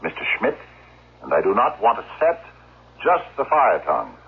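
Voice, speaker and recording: An actor in a 1970s radio drama playing a menacing, oddly courteous robber, haltingly polite yet coldly insistent. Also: male voice, lowish voice, huge pitch swings, slightly rough, phone-quality sound, heavily acted. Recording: noisy, thin vintage radio recording.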